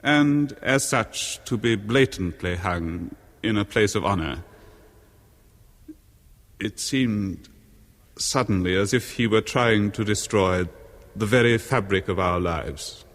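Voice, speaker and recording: Pompous bitter older english husband, deep voice, florid and scornful, old radio drama w long reverb. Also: male voice, slow, fairly bright tone. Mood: sardonic disgust. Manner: florid, scornful, theatrically precise. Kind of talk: 1970s radio horror drama acting. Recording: long reverberant echo on 1970s radio recording.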